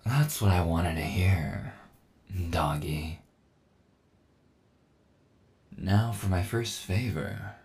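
A man playing a smug, dominant cyborg villain in an ASMR audio roleplay, taunting and condescending with slow menace. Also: animated, darkish tone, heavily acted. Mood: satisfied, controlling. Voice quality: low, gravelly.

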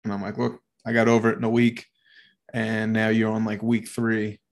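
A man with a nasal voice co-hosts a podcast in a loose, rambling way, swearing and laughing as he goes. His voice sits slightly low in pitch. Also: monotone, normal speed. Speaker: podcast co-host. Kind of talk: casual podcast chat.

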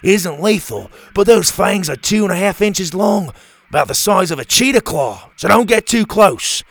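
A man voicing an excitable adult male dinosaur expert who lectures eagerly about spiders. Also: sits high, moderate pace, creaky, voice pushed up, exaggerated voice. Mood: reassuring. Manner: enthusiastic, lecturing.

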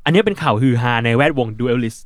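A man's voice: mid-range voice, lively intonation, brisk, no echo, clean studio sound. A man hosts a casual podcast chat, speaking in an informal, slangy way. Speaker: podcast host.

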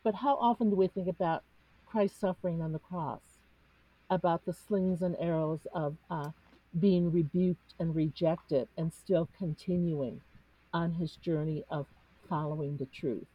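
Cantonese-native woman, low and breathy, reflective panelist, dry muffled recording. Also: steady tone, no echo. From United States. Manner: earnest, searching, pauses with fillers. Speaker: discussion panelist. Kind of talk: reflective spiritual discussion.

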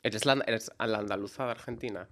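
A man's voice: on the low side, steady tone, brisk, a bit bright, a little hiss or hum. A man from hosting a podcast, teasing his guest and laughing often in casual chat. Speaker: podcast host.

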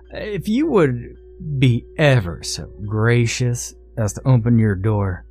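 A man voicing a small, genteel Southern gentleman with a florid, drawn-out way of speaking and an American Southern accent. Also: on the low side, full character voice. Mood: coaxing. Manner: florid, drawn-out, ever so gracious. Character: small pot-bellied Southern dandy man, genteel, menacing.

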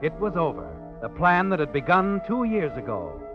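Sardonic American storyteller, highish animated voice, old radio suspense, dramatic music behind. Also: male voice, normal speed. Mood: knowing, ominous. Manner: knowing, dramatic. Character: sardonic male storyteller of sinister crime tales. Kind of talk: 1940s radio suspense narration. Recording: muffled vintage radio recording.

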